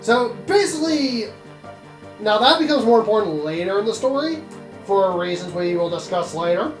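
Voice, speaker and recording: A man's voice: sits high, big pitch swings, moderate pace, reverberant. A man giving casual, rambling game commentary among friends, explaining things as he goes and swearing now and then. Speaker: host of a video game let's play. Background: video game music.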